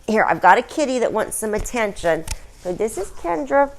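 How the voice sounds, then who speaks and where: A woman's voice: on the high side, sing-song, normal speed, a little hiss or hum, poor audio. A middle-aged or older woman vlogging in a casual, chatty, folksy way, like a storyteller talking to her viewers.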